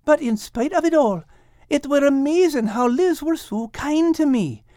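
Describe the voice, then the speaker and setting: A man voicing a timid, soft-hearted greedy tomcat with a simple, plaintive manner in an audiobook. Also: very high-pitched, animated, moderate pace, no room sound, studio quality, pitched above the performer's own voice. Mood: sorry, smitten. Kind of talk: animated audiobook character reading.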